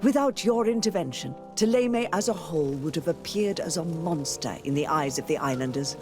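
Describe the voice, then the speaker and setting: Man playing imperious church leader, raised pitch, formal, emphatic, video game voice. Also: expressive, normal speed, over music, lightly acted. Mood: grateful, gracious. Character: stern, authoritative high church dignitary. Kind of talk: scripted video game voice acting.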